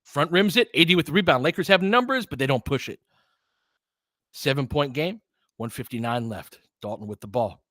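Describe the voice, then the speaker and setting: A man's voice: a little high, lively intonation, moderate pace, fairly bright tone, dry recording, clean studio sound. A man giving informal live basketball play-by-play as a partisan commentator who urges on the home team.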